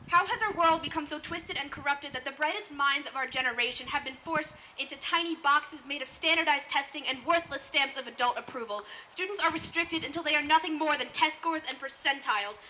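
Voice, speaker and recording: Teen female slam poet, bright forceful voice, earnest rhetorical delivery, live recording with faint noise. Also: high-pitched, rough recording. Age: teens. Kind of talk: slam poetry performance. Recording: noticeable background noise, live event.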